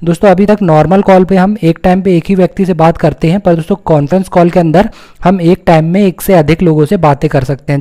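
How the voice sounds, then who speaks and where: A man's voice: on the high side, warm tone. A man with an Indian accent narrating a step-by-step phone tutorial, explaining things simply and repeating his points. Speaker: tutorial host.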